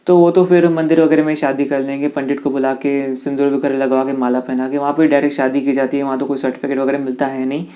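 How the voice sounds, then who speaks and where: A man's voice: medium pitch, little pitch movement, mellow. A man with an Indian accent giving an informal explainer lesson, speaking conversationally to listeners and sometimes reading passages aloud. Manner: conversational, addresses listeners directly, reads English passages haltingly. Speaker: exam-prep teacher explaining law.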